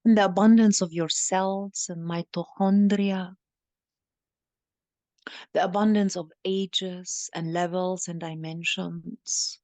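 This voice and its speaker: Slow, creaky-voiced woman guiding a meditation. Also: lowish voice, steady tone, a little mellow, no echo. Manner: halting, deliberate phrasing with repeated lines. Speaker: meditation guide speaking as a channeled ancient money healer. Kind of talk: guided meditation, channeling monologue.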